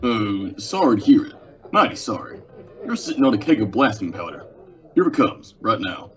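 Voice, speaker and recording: A man's voice: lowish voice, sing-song, normal speed, fairly bright tone. A teenage man playing a folksy, patronizing frontier saloon man in an old-time western radio drama. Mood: warning. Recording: dull lo-fi radio recording.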